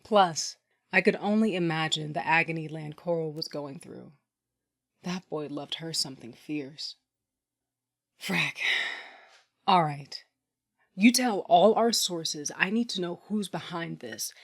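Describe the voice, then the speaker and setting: A woman's voice: low-pitched, unhurried, vocal fry, heavily acted. An actress playing a tough, sardonic underworld boss who narrates her own story bluntly in an audio drama. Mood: tough, wry. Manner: blunt, wry. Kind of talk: first-person dramatic narration and acting.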